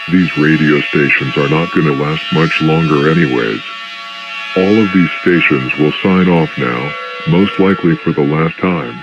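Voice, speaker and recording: Man acting as grave government spokesman, extremely low voice, formal, resigned, emergency radio address, music behind. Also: lo-fi sound. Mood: resigned, somber. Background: clear music.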